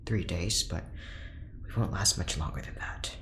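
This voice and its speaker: A man's voice: sits low, moderate pace, fairly bright tone. A man playing an earnest, guilt-ridden doctor in an audio drama, speaking in a serious, slightly formal and literal way. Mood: weary, somber. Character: stiff, earnest, guilt-ridden scientist doctor, socially awkward. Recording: roomy echo, background noise.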